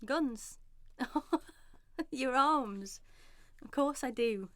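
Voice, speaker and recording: A devoted but worried young wife in a radio drama. Her voice is high and emotional, recorded very dry. She speaks as a mother who fears for her family and herself. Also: very slow, dry recording. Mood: concerned, gentle. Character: anxious loving young wife and mother, pleading then frightened. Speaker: actress and narrator in a radio drama. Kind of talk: radio drama acting, dramatic first-person narration.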